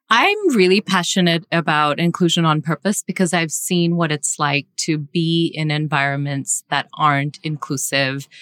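A woman's voice: low voice, a bit bright, no room sound, studio quality. A woman from Singapore, a guest author on a podcast interview, speaking with passionate emphasis and frequent restarts.

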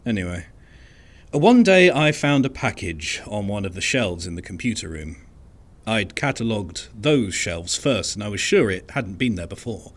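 A man playing an unassuming, wry and resigned archivist in an audio drama monologue, speaking in a dry, understated, conversational way with hesitant pauses. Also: fairly low pitch, very animated. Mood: uneasy, puzzled. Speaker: narrator of an audio fiction podcast.

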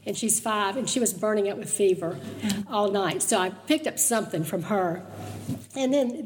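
An older woman, from Mississippi, telling her life story in a chatty, storytelling way, her voice slightly raspy. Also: mid-range voice, big-room sound, a little hiss or hum. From Mississippi, US South. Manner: chatty, storytelling, laughs easily. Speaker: guest speaker sharing her testimony. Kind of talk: personal testimony talk.

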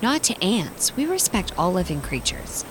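Earnest new-age mom, very lively woman acting. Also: medium pitch, normal speed, noisy background, natural voice. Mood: mild, reasoning. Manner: earnest, excitable, gasping, new-age talk. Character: earnest new-age mother, a gentle contestant who loves all creatures. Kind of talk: audio drama acting.

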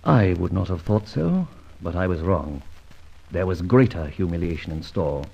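Husky, dramatic gentleman adventurer. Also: deep voice, expressive, moderate pace, exaggerated voice. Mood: bitter, anguished. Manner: theatrical, clipped old-fashioned gentlemanly phrasing. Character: disgraced former army officer turned gentleman adventurer, dramatic, wry. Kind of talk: old radio drama acting. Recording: dull, lo-fi old radio recording.

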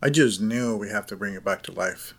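A man's voice: on the low side, brisk, fairly bright tone. A man playing an earnest, boyish grown man who loves old tractors, in an audio drama. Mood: earnest enthusiasm.

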